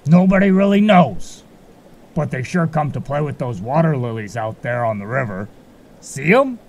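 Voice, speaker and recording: A man's voice: medium pitch, very animated, normal speed. A man playing a folksy, laid-back but grumbling keeper of a magical marsh in an amateur audio drama. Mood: explaining.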